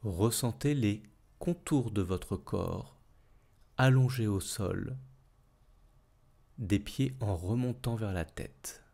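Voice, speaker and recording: A man leading a guided yoga nidra relaxation as instructor, speaking calmly and soothingly in measured phrases with long pauses. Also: on the low side, vocal fry.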